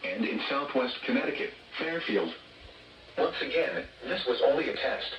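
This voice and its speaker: A man's voice: medium pitch, big pitch swings, echoey room, highs cut off. Distant, noisy synthetic male weather radio announcer. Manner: formal, measured, impersonal synthetic reading. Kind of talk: scripted public service announcement.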